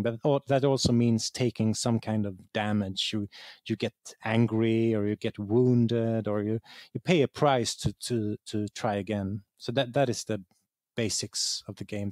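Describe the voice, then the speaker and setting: A man's voice: lowish voice, darkish tone, no echo. Swedish guy explaining stuff in an interview, kinda hesitant, repeats words, dry sound. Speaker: interview guest, game writer. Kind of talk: conversational interview, explaining and storytelling.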